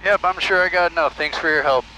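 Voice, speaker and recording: A man's voice: on the high side, fast, poor audio. A man flying a small plane, talking himself through it in short, clipped phrases with casual cockpit commentary and radio calls. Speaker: private pilot. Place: small aircraft cockpit in flight. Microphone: aviation headset. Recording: steady engine noise in cockpit. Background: aircraft engine drone.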